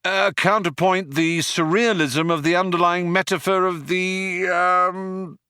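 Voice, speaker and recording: A man's voice: high-pitched, steady tone, unhurried, sharp tone, smooth, no echo, studio quality, voice pushed up. Ford Prefect, man doing a pained, urgent alien. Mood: urgent, hissing. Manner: hissing, urgent. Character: pained alien man in distress, quick-witted.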